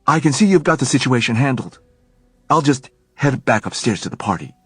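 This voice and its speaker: A man's voice: medium pitch, expressive, fairly quick, breathy edge, no echo, soft background music, voice pushed up. A man voicing a guarded young man who speaks tersely. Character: guarded young male Shadowhunter on vacation.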